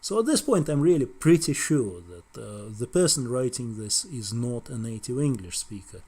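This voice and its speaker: A man's voice: mid-range voice, lively intonation, normal speed, a little mellow, breathy edge. A middle-aged man with a Russian accent giving a wry, conversational lecture on translation, often ending points with tag questions. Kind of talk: explanatory video lecture.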